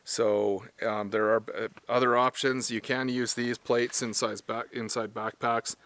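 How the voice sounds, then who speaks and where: A man with a hoarse voice from smoke, talking casually to camera about gear he sells, rambling and correcting himself as he goes. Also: mid-range voice, level tone, unhurried, a bit bright, dry recording. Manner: rambling, self-correcting, plain-spoken. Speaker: firearms gear seller and trainer. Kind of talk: casual product talk to camera.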